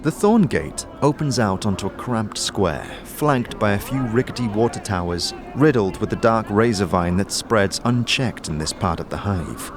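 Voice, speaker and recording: A man narrates a scripted fantasy audio drama. His voice sits on the low side, and music plays clearly behind him. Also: expressive, normal speed, few pauses.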